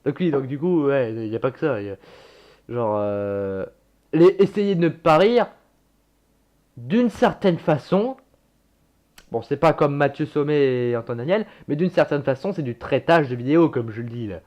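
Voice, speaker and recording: A man's voice: mid-range voice, expressive, moderate pace, a little mellow, dull audio. A young man casually reacting to his own old videos, chatty and self-mocking, swearing and laughing at himself.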